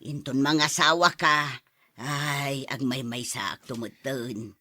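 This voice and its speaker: A woman's voice: extremely low pitch, steady tone, slow pace, bright, forceful tone, no room sound, clean studio sound, heavily acted. An actress in a radio drama playing a fussing, affectionate elderly mother and grandmother.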